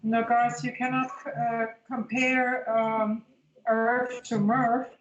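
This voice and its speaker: A woman's voice: on the high side, little pitch movement, slow pace, highs cut off. A woman in her fifties chatting casually, often repeating words as she searches for the next one. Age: 50s. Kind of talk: casual chat. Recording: echoey room with light background noise.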